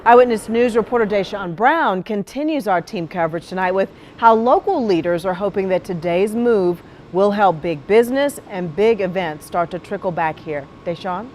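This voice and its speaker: A woman with a medium-pitched voice anchors a TV newscast. She reads scripted news, with faint noise behind her. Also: moderate pace, hardly any pauses, a little mellow.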